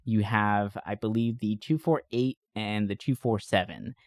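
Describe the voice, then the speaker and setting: A man hosting a podcast in casual chat, talking in an informal, joking, animated way. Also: lowish voice, a little slow, no room sound, studio quality. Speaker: podcast host. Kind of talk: casual chat about movies.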